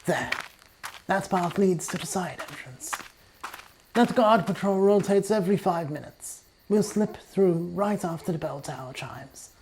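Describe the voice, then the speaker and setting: A woman playing a cool, resourceful male British secret agent of wartime in a measured fan audio drama performance. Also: lowish voice, lively intonation, a little mellow, slight background noise, rough recording. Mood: calm, discreet.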